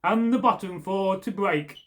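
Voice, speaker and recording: A man's voice: high voice, a little slow. A man doing a menacing false knight.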